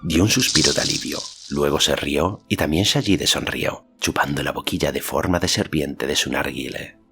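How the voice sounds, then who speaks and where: A man narrates a storybook audiobook in a remarkably deep voice with a gravelly fry. The delivery is scripted narration, set over faint music. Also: moderate pace, bright, forceful tone.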